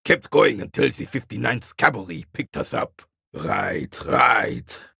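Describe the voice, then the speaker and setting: An actor playing a proud, bitter old royalist soldier in a video game, stern and disdainful, with a gravelly, raspy voice. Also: male voice, medium pitch, lively intonation, slow, pushed and bright, no echo, clean studio sound, heavily acted. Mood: grim recollection. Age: older. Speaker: retired carabinier working as a guard. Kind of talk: video game character dialogue.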